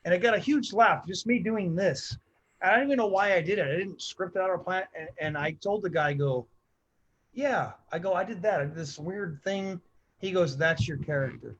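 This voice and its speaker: A man's voice: sits high, moderate pace, a bit bright. A middle-aged man hosting a comedy show, telling stories in a rambling way and repeating himself. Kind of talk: casual chat. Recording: dull lo-fi sound.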